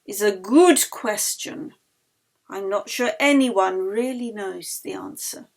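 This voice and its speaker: A woman narrator voicing an earnest, reflective woman violinist in a storybook, thoughtful and emphatic, trailing into hushed tones. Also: mid-range voice, slow pace, gravelly. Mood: pensive.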